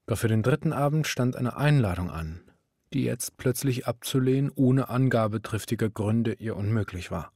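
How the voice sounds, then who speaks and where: An actor playing a grave, measured literary narrator in a radio play, with a husky voice. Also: male voice, mid-range voice, darkish tone, dry recording, studio quality, lightly acted. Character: grave literary narrator, also the concerned husband. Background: music.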